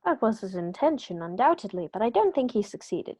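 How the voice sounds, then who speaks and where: A woman playing a sharp, confident male detective in a radio play, crisp and brisk as he reasons through the clues. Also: medium pitch, very animated. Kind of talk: amateur radio play acting.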